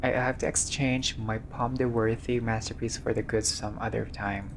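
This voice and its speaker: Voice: mid-range voice, level tone, normal speed. Noisy recording of a casual gamer reading aloud. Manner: informal, swears, stumbles while reading. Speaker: gamer reading and reacting to a visual novel playthrough. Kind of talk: casual let's-play, reading game text aloud with asides.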